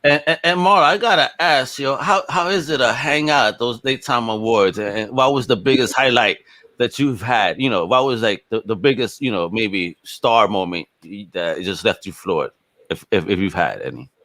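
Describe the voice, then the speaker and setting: A man hosting a podcast in a casual, slangy chat style with lively banter. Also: medium pitch, moderate pace, dry recording. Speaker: podcast host.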